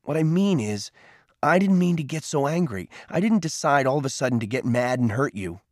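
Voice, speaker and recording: A man's voice: medium pitch, lively intonation, brisk, no echo, clean studio sound, lightly acted. A man voicing a remorseful boy in an audiobook story, earnest and pleading as he holds back his temper. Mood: earnest, apologetic.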